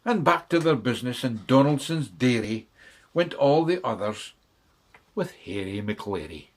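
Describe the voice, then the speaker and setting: A man's voice: medium pitch, animated, unhurried. A man reading a children's bedtime story in rhythmic verse with dramatic delivery.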